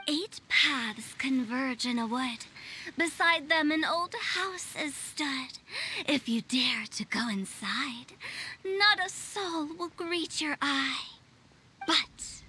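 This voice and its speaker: A woman's voice: high-pitched, bright, forceful tone, a little hiss or hum, exaggerated voice. A woman voicing a playful, teasing girl with a taste for the spooky, theatrical in a video game style. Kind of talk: video game character acting.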